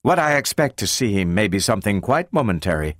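A man's voice: fairly low pitch, sing-song, fairly quick, no room sound, studio quality. A man voicing a commanding, exacting woman scientist in an audiobook, with clipped, precise delivery. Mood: cold, commanding. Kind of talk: dramatic audiobook character reading.

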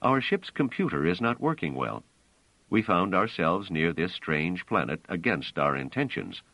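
A weary but commanding male space traveler, voiced by a narrator, giving firm orders in a deep voice that moves widely in pitch. The sound is dry, with no room behind it. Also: big pitch swings, moderate pace, a bit bright, dry recording, heavily acted.

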